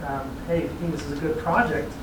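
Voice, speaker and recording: A man's voice: on the high side, fairly even intonation, fast. A man from a regional planning agency, briefing a city council in an easygoing, explanatory way. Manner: explanatory, easygoing, chuckles at own asides. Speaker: regional transportation planning staff presenter. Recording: echoey chamber, distant mic, clear background noise.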